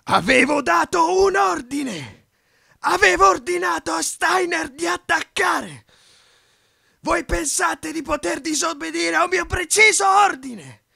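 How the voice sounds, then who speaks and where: Casting: paranoid middle-aged dictator in a war film, gravelly and forceful, given to emphatic ranting. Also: male voice, very high voice, expressive, slow pace, exaggerated voice. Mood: furious. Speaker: amateur dubbing voice actor. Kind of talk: amateur film dub, raging monologue.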